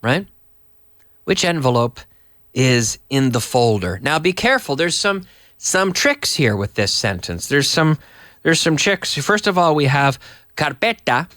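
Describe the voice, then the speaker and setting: A man with a cold and a hoarse voice, teaching English live to viewers in a chatty, slow, explaining way. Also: fairly high pitch, very animated, a bit bright, no room sound, clean studio sound. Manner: chatty, reads viewer messages aloud and explains slowly. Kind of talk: live online English lesson.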